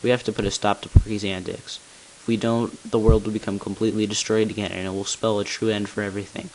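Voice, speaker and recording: A man playing a grave, weary elder leader in a video game story, delivering a measured, solemn explanatory monologue. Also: lowish voice, little pitch movement, a little mellow, close to an everyday voice, poor audio. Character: grave, weary male village elder revealing secrets. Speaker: amateur voice actor auditioning. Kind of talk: scripted dramatic monologue, game narrative. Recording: hissy home microphone recording.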